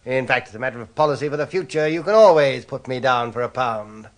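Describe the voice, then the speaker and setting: An actor playing a callous, penny-pinching boss with an English accent in a British radio drama, bossy and offhand. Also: male voice, medium pitch, fast talker, lightly acted. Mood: brusque, self-satisfied. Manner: brusque, offhand.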